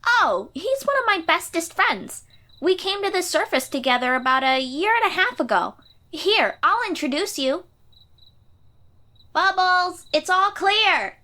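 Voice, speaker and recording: A woman's voice: extremely high pitch, bright, forceful tone, full character voice. A woman voicing a confident, chatty thirteen-year-old sea-dweller girl warrior in a fantasy audio roleplay, perky and informal. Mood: cheerful, eager.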